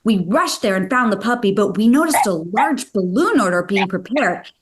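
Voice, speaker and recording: Woman as an animated young rescue-team boy leader. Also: mid-range voice, normal speed, lightly acted. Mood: earnest. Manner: animated, earnest. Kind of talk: table read of a children's story script.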